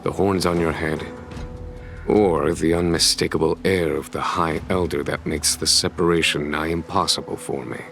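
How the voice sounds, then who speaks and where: An actor playing a composed fantasy general in a game, speaking in measured, formal, eloquent phrases. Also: male voice, very deep voice, steady tone, vocal fry, fairly bright tone, exaggerated voice. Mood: solemn. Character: composed, stately male general of a fantasy realm. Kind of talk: dramatic scripted game dialogue. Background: background music.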